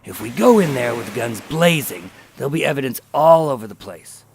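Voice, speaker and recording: A man playing a weary, calculating undercover agent, terse and measured, in a noir crime radio drama. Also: mid-range voice, lively intonation, a little mellow, slight background noise, natural voice. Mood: stern, commanding. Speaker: lead actor and narrator. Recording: music under the voice.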